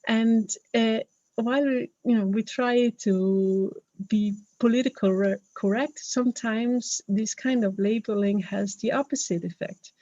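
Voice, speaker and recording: A woman's voice: a little high, fairly even intonation, a little slow, mellow, no room sound, lo-fi sound. A woman from Denmark with a Danish accent, speaking English as a second language in a webinar talk, earnest and hesitant, with many fillers and restarts. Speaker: webinar speaker, health professional.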